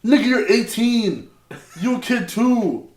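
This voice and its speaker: A man in his twenties co-hosting a casual podcast, blunt and profane with quick retorts. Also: very high-pitched, lively intonation. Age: 20s. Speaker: podcast co-host. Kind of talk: casual chat. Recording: echoey room with faint noise.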